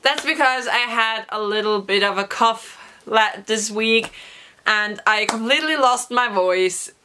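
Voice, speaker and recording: A young woman vlogger with a hoarse voice from a recent cough, chatting through an excited story with many fillers. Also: on the high side, unhurried, a little hiss or hum. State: hoarse, recovering from lost voice. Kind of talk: casual storytelling vlog.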